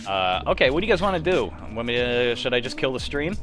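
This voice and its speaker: A young man hosts a casual livestream in a mid-pitched voice. His delivery is animated and informal, with a joking streak. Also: fast, faint music behind. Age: young adult. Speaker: livestream host. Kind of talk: casual chat.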